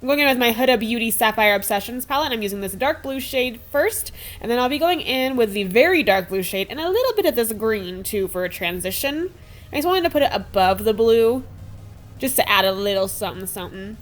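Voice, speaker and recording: A woman's voice: fairly high pitch, animated, a little fast, soft background music. A young woman in her twenties chatting casually through a makeup tutorial, a bit nasal from a cold. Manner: chatty and upbeat, lots of "like" and "super". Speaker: beauty vlogger. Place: small room at home with a sparkly backdrop.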